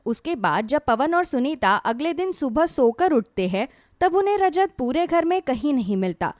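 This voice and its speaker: A woman's voice: high voice, sing-song, normal speed, a little mellow, no room sound, clean studio sound. A woman narrating a scripted dramatic story as a storyteller.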